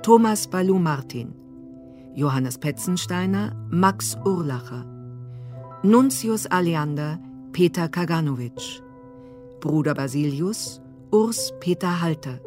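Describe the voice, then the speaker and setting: A woman narrator reading scene headings and credits for a radio play in a measured, sober way. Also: fairly low pitch, darkish tone. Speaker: narrator and announcer. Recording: music under the voice.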